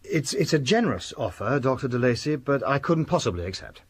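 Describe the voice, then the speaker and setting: An actor playing an earnest, anxious gentleman nephew in a radio drama, polite but urgent and troubled. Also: medium pitch, sing-song, fairly quick, husky. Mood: anxious, firm.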